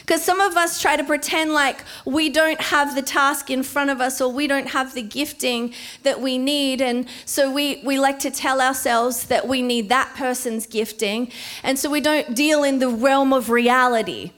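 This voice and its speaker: Woman in her forties, preacher; high voice, emphatic sermon delivery. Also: steady tone, fairly bright tone. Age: 40s. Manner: emphatic, slowing down to stress key words.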